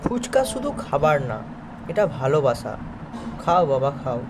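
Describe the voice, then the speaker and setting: A man's voice: fairly high pitch, very animated, a little slow, noisy background. A man playing a kindly, earnest, plain-spoken village snack seller in a moral cartoon story. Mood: tender. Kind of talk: Bengali moral cartoon voice acting.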